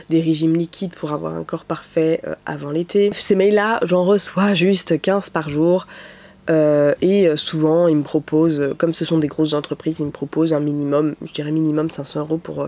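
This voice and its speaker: A woman's voice: deep voice, darkish tone. A young woman with a cold, speaking a bit through her nose, chatting to her viewers as a YouTuber with lots of fillers. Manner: chatty, many "euh" fillers. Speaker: YouTuber, content creator. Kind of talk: casual talk to camera. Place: at home, window open, rain outside.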